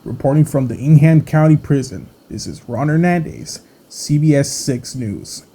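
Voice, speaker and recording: A man playing a TV news reporter, giving a report in an even, formal manner. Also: fairly high pitch, moderate pace. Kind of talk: staged news report sign-off.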